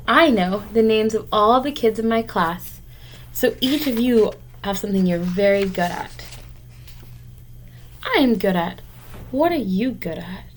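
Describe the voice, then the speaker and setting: A woman teacher reading a picture book aloud to young children, explaining and encouraging them in simple, direct words. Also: mid-range voice.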